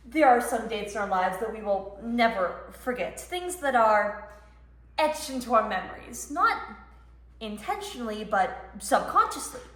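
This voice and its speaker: A woman performing a dramatic monologue as a frantic, grieving mother, with emphatic delivery and heavy pauses. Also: a little high, fairly bright tone. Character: frantic mother of a middle schooler, desperate and grieving. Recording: echoey room, voice ringing off walls.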